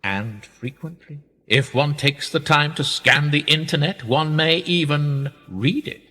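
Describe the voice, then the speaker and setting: A man's voice: fairly high pitch, measured pace, pushed and bright. An actor playing a wealthy upper-class British businessman in a radio play, urbane, wry and self-mocking, with formal, rhetorical speech. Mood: sardonic, self-mocking. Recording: reverberant room with long echo.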